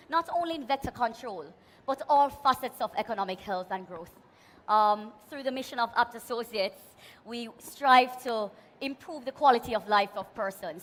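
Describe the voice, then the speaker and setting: A Jamaican woman delivers a motivational talk from a conference stage, her high voice ringing out. She speaks with a bright, forceful edge, plenty of passion and a big voice, leading the crowd in call-and-response chants. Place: large conference hall stage.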